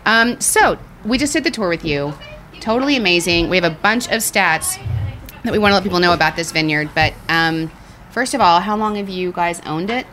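A woman co-hosting a podcast, chatting in a gushing, excitable way and saying "like" a lot. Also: on the low side, fairly quick, soft background music. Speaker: podcast co-host. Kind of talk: casual chat. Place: vineyard.

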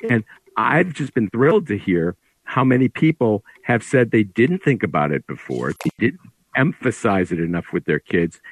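A man speaking as an author in a conversational interview, explaining things through anecdotes in a husky voice. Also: fairly low pitch, no room sound, poor audio. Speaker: author discussing his book.

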